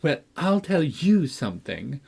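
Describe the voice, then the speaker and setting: A man's voice: fairly high pitch, sing-song, unhurried, vocal fry, a little mellow, husky, voice pushed up, heavily acted. Guy putting on a whiny sick little boy, peevish, voice leaps all over. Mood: taunting. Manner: whiny, self-pitying. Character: peevish sickly boy, whining and spiteful.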